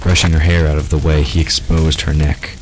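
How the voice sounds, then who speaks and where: A man's voice: very deep voice, level tone, a little fast, noisy background. A man reading scripted fiction as the narrator of an audio drama.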